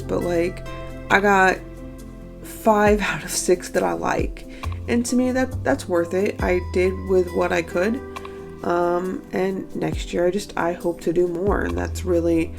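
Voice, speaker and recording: A young woman with a hoarse, stuffy morning voice, chatting casually and self-critically about her own paintings in an art vlog. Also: fairly low pitch, a little mellow. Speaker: art vlogger. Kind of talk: casual talking-head recap.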